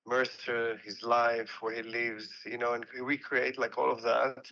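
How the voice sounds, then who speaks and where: Israeli-accented man, bright voice, halting, dull lo-fi audio. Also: mid-range voice, level tone, creaky. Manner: halting, repeats words. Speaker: film director.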